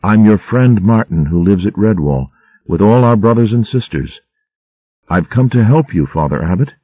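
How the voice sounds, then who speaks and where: Brave male warrior mouse, gentle and earnest, voiced by a man. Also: fairly low pitch, animated, moderate pace, no room sound, phone-quality sound, pitched above the performer's own voice. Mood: tender.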